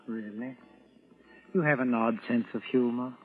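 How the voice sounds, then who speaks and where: An actor playing a courteous, condescending psychiatrist in old-time radio drama style, pedantic and deliberate, with fussy hesitations. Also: male voice, medium pitch, natural voice. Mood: dryly patronizing. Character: smug, unshakeable Martian psychiatrist, courteous and condescending. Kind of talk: 1940s-50s radio drama acting. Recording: noisy, tinny old radio recording.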